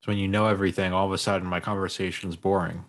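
Man, low voice, easygoing, casual chat, laid-back storyteller. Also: flat delivery, rapid-fire.

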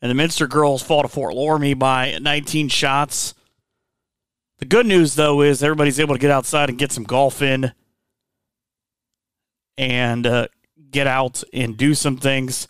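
A man's voice: medium pitch, fairly even intonation, moderate pace, long pauses, fairly bright tone, smooth, no room sound, clean studio sound. A man hosting a sports podcast, chatting in an easygoing, conversational way. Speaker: sports radio host.

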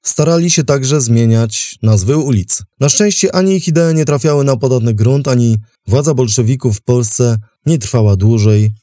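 A man with a warm, mellow, mid-pitched voice narrates history as a host. His delivery is measured and articulate, recorded close and dry. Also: no room sound. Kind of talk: scripted history documentary narration.

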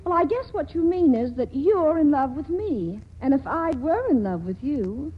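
A woman's voice: high voice, big pitch swings, moderate pace. An actress playing a restless, wry and lovelorn young woman in a 1940s radio drama, with a theatrical, weary manner. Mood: wry, detached. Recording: dull, noisy 1940s radio broadcast recording.

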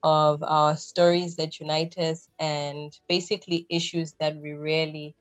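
A woman's voice: low voice, little pitch movement, a little slow, dry recording, faint music behind. A woman from Zambia in her thirties, a podcast guest, explaining her background and ideas thoughtfully with frequent fillers. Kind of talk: conversational interview answers.

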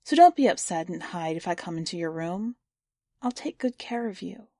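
A woman hosting a fiction podcast, introducing and then reading a short story in a measured, clear storytelling delivery. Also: on the low side, expressive, mellow, no echo, clean studio sound. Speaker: podcast host and story reader.

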